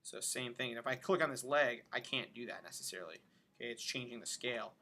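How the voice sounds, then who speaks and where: A man giving an informal software tutorial, thinking aloud as he demonstrates each step. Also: mid-range voice, fast talker. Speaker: animation instructor. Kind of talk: casual screen-recorded tutorial narration.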